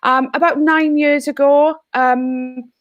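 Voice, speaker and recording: A woman's voice: high-pitched, steady tone, takes it slowly, dry recording, dull audio. A Welsh woman whose first language is Welsh, a teacher speaking earnestly on a video call panel. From Wales. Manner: earnest, heartfelt. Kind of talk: online panel talk.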